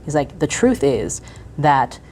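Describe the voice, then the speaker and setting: Very low-voiced woman from China. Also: very animated, slow pace, a little mellow, slight background noise. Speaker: panel guest. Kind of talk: roundtable discussion.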